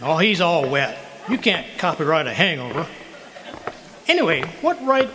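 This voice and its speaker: An actor playing a blustery, wisecracking husband who argues and stammers in an old-style radio comedy. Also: male voice, fairly high pitch, big pitch swings, normal speed, background noise. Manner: wisecracking, indignant, hesitant stammers. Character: blustery, argumentative middle-aged husband, wisecracking schemer. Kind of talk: 1940s radio comedy acting, live re-creation. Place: community hall at a home park, live performance. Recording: reverberant hall, live stage performance.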